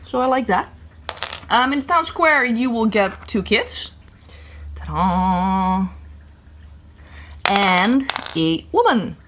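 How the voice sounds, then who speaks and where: A woman's voice: a little high, expressive, slow pace, long pauses, noisy background. A woman from the Netherlands chatting casually through a toy review, hesitant with many fillers and restarts. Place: indoor room at a tabletop set.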